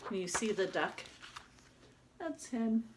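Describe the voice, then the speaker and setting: A woman's voice: mid-range voice, animated, slow pace, background noise. A woman teacher doing a read-aloud, chatting casually and explaining things simply to children. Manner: explains simply to young listeners. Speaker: teacher reading a picture book aloud.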